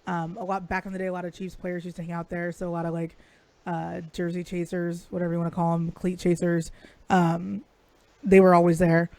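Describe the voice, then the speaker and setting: Woman, raspy, swears freely, dry close sound, chatty storyteller. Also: lowish voice, flat delivery, brisk, a little mellow, no room sound. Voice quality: raspy today. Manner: profane, storytelling. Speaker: podcast co-host. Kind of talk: casual chat.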